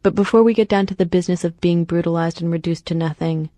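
A woman's voice: fairly low pitch, fairly even intonation, quick pace, warm tone, no echo. A woman working as a radio reporter, reading scripted narration for a story.

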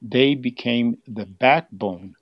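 A man's voice: medium pitch, slow pace, no room sound. An older man explaining history as an interview guest, heard over a dull, band-limited line. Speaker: museum exhibit curator, interview guest.

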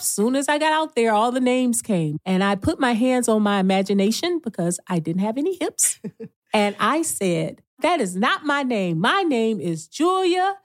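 A woman's voice: medium pitch, dry recording, studio quality. A woman with an American accent, telling a personal story as a podcast guest.

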